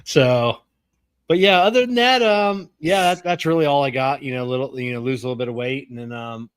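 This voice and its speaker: A man's voice: medium pitch, a little fast, clean tone, dry recording, studio quality. A man in his forties co-hosting a podcast, chatting in an easygoing way with stammered restarts and a lot of "you know". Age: 40s. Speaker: podcast co-host. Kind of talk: casual chat.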